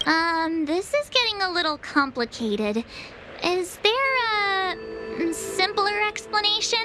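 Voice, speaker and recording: A woman voicing a bubbly, candid, easily flustered girl adventurer in a video game, informal and expressive. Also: very high voice, takes it slowly, loud music behind the voice, full character voice, rough recording. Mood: sheepish, confused. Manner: informal, hesitant, expressive, playful. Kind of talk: scripted video game voice acting.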